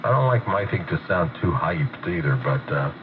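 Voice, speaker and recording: A very deep-voiced man talks casually over the air, his voice muffled and congested from a cold. The sound comes through a tinny radio receiver under a layer of clear static. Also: very animated, normal speed. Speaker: ham radio operator.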